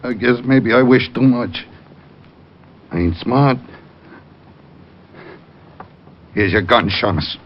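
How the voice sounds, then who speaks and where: A man's voice: mid-range voice, a little slow, lightly acted. A man playing a tough, uneducated, heavy-hearted gangster with blunt street talk in a radio drama. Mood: grieving, resigned. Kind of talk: 1940s-50s radio crime drama acting. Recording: muffled old radio recording.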